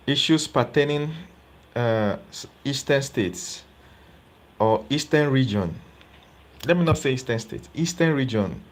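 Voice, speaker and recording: Man giving live political commentary. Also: mid-range voice. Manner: conversational, asks viewers rhetorical questions.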